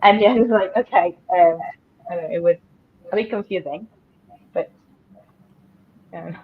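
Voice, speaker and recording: A woman with a lowish voice chats casually in a group conversation. is her language. The sound is a little muffled, like an online call. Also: measured pace, a little hiss or hum. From Italy. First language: Italian. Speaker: guest in a language conversation panel. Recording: dull, band-limited online call audio.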